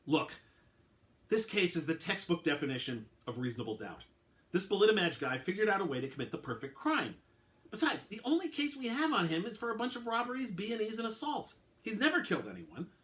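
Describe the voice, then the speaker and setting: Brusque legal guy, acted by a reader, pitched up, emphatic, swoops around, fed up. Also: big pitch swings, a little fast, a bit bright. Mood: exasperated, emphatic. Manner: emphatic, exasperated. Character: brusque male prosecution liaison, dismissive and emphatic. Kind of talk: dramatic story reading.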